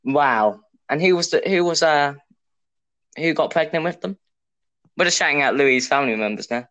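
A man's voice: on the high side, expressive, moderate pace, a bit bright, no echo, dull audio. A young man hosting a casual podcast, teasing his co-host and laughing often. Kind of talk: casual chat.

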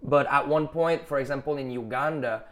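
A man's voice: medium pitch, normal speed. A man from Quebec, a rapper with a Quebec French accent, talking in an interview and explaining at length in long, thoughtful runs. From Quebec, Canada. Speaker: rapper and musician guest. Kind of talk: interview conversation.

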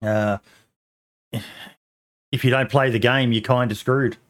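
A man's voice: mid-range voice, steady tone, slow, a bit bright. Hesitant man hosting a podcast chat. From Australia. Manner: hesitant, many ums and restarts. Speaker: podcast host. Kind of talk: casual chat.

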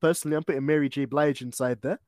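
A man's voice: on the high side, steady tone, racing, darkish tone, no echo, clean studio sound. A man hosting a podcast interview, upbeat, prompting his guest with questions and easy laughs. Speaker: podcast host.

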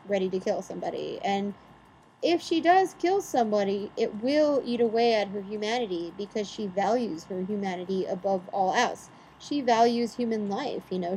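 A woman's voice: medium pitch, darkish tone, poor audio. A woman co-host who talks casually and argues her case with rhetorical questions. Her voice sits in the middle of the range. A faint background hum runs under her speech. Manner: opinionated, builds arguments with rhetorical questions. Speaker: podcast co-host. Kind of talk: casual chat.

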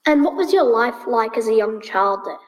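A woman's voice: a little high, expressive, moderate pace, big-room sound. Polite teen girl asking questions. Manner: polite and formal. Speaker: student interviewer. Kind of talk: reading interview questions.

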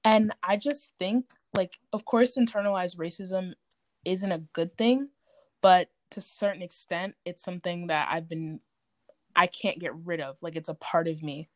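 A young woman speaks candidly in a group discussion. Her voice sits a little low and is recorded very dry, and she feels her way through her thoughts informally, often saying "like". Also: dry recording. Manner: informal, halting, reflective, frequent "like".

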